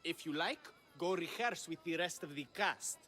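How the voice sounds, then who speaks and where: A man's voice: fairly high pitch, animated, normal speed, sharp tone. An actor playing a vain, flamboyant male theatre director in a video game, with a grandiose, declaiming manner. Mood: self-assured. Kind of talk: video game cutscene acting.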